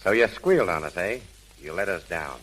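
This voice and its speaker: An actor playing a bitter, tough but lovestruck crook in 1930s American radio drama. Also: male voice, low-pitched, expressive, fairly quick, fairly bright tone, slight background noise, low-quality recording. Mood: bitter, resigned. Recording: humming, sizzling old 1930s radio recording.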